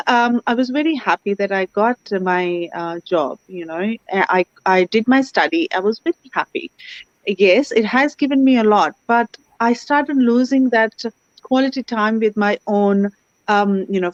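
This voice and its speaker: A woman's voice: medium pitch, dry recording. A woman, a guest on an online interview, speaking earnestly with many "you know" fillers over a video call. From India. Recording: dry, dull lo-fi call audio.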